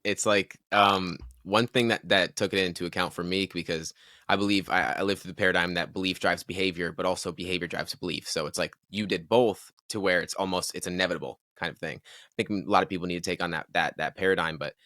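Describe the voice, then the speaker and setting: A man hosting a podcast, speaking reflectively and haltingly, often restarting his sentences. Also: low voice, steady tone, pushed and bright, no echo, studio quality. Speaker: podcast host. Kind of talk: casual podcast conversation.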